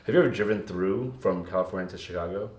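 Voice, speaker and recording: A man's voice: sits low, quick pace, darkish tone. A middle-aged man co-hosting a podcast, joking and rambling with plenty of laughs. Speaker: podcast co-host. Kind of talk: casual podcast chat. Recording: echoey room, faint noise.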